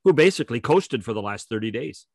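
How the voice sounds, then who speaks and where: Older guy chatting sports, medium pitch, emphatic, repeats himself, dry desk mic sound. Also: animated, quick pace, dry recording. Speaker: sports podcast co-host. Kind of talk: animated casual sports chat. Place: home study on a video call. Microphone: desk microphone.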